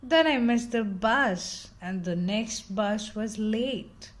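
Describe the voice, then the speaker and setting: A woman reading a children's picture book aloud in an expressive, dramatic storytelling style with theatrical emphasis. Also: mid-range voice, slow.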